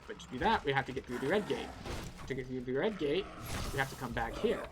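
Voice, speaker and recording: A man's voice: mid-range voice, animated, quick pace, background noise. A man giving step-by-step playthrough commentary as a video game guide, explaining things in an instructive way.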